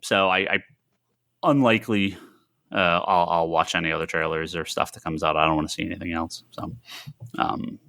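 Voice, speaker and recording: Low, bright-voiced man, halting and rambling. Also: steady tone, gravelly. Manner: halting, rambling, thinking aloud. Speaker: podcast co-host. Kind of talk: casual chat about comics and films.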